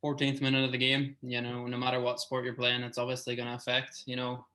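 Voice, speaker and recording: A man co-hosting a sports podcast in relaxed chat, often saying "you know". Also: mid-range voice, fast. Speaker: podcast co-host.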